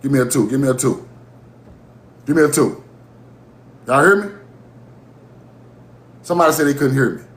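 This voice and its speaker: A man's voice: medium pitch, normal speed, pauses often, echoey room. A man from Mississippi, hosting a livestream and delivering a heated, profane monologue with bursts of laughter. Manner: animated, profane, laughing rants. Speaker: livestream host.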